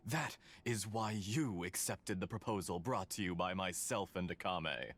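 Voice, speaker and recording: A man voicing a courteous, calculating foreign engineer in a video game, speaking in a formal, flattering, theatrical way. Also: sits low, a little slow.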